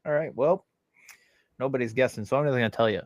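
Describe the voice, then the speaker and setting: A man's voice: medium pitch, fast talker, no room sound. Rambling, self-correcting man on a dry mic. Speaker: podcast co-host. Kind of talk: casual chat.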